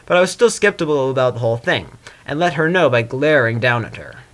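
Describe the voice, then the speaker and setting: A man's voice: mid-range voice, sing-song, fairly quick, natural voice. A man voicing a sardonic chaos spirit trapped in stone, in a dry, skeptical inner monologue for a story reading.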